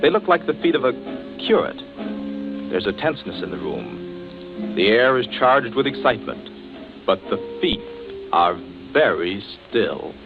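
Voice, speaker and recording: Ominous old-time radio narrator. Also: male voice, mid-range voice, big pitch swings. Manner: measured, ominous, theatrical. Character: ominous storyteller of a suspense show. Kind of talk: 1940s radio suspense narration. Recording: tinny vintage radio with music behind.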